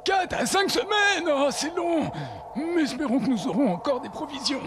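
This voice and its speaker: A man's voice: very high voice, lively intonation, moderate pace. A man playing an older, good-natured, fretful village doctor in a French cartoon dub, with background noise.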